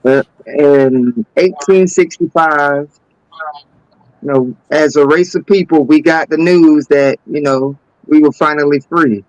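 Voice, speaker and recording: A man's voice: on the high side, slow, dry recording, poor audio. A man co-hosting a talk show, commenting casually and often repeating his words.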